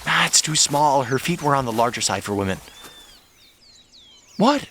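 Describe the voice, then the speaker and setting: A man's voice: medium pitch, lively intonation, moderate pace, a bit bright, slight background noise, lightly acted. An actor playing a nervous, skittish, chatty fashion-savvy man tagging along as a sidekick in an audio drama.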